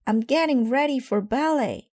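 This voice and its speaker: Storybook sister bear cub, voiced by a woman: high, loud, eager, impatient. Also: normal speed, dry recording, studio quality, close to an everyday voice. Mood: busy, shouting. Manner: shouting, impatient.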